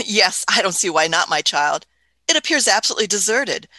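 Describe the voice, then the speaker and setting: Woman as pompous eccentric scientist, radio drama lead. Bright, forceful voice, old-fashioned and bossy manner. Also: medium pitch, expressive, fairly quick, no room sound. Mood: indulgent, lofty. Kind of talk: radio play acting.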